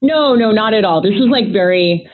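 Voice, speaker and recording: A woman from the United States with a self-described loud voice, casually and chattily telling stories about a family trip as an interview guest. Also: medium pitch, expressive, moderate pace. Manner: chatty, with frequent fillers and restarts. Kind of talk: casual conversational storytelling. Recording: tinny phone-line audio.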